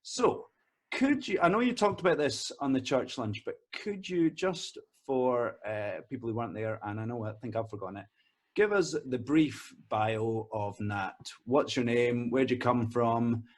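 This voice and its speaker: Rambling church interviewer. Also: male voice, medium pitch, lively intonation, measured pace. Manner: rambling, stacks several questions at once. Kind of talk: casual interview questions.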